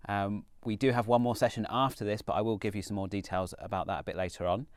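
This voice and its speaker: A lowish male voice hosting a university panel, with the dry, close sound of a small room. He is upbeat and keeps breaking into fillers and restarts as he moves the talk along. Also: fairly even intonation, fast talker, clean tone, no echo. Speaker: panel host, university recruitment head.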